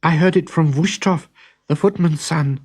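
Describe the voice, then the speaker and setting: A man's voice: a little high, normal speed, a little mellow, breathy edge, voice pushed up. A man voicing an earnest, outspoken young girl, a daughter in a storybook. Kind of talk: storybook character acting.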